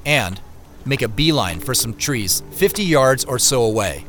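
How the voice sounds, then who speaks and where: A man's voice: medium pitch, animated, a little slow, a bit bright, soft background music. An actor playing a wry, beleaguered office man on the run, narrating his own audio drama in the first person with understated, muttered asides. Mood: wry, weary narration. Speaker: actor narrating as main character.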